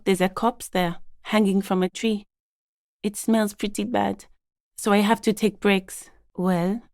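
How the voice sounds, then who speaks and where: An actress playing a guarded, matter-of-fact gardener in a video game, with an understated, polite but curt delivery. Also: female voice, medium pitch, a little mellow, no echo, clean studio sound, close to an everyday voice. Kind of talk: game dialogue voice lines, understated and conversational.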